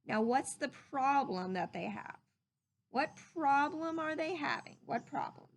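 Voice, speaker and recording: A woman reads a children's book aloud with a high voice and an unhurried pace. Her delivery is animated and emphatic, as a teacher would read to young listeners. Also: slight background noise.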